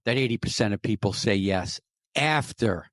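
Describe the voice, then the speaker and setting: A man's voice: lowish voice, animated, normal speed, a bit bright, no echo, clean studio sound. A middle-aged man with a New York accent, a sales trainer speaking as a podcast guest in an emphatic, coaching way that repeats key phrases. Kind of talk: conversational interview.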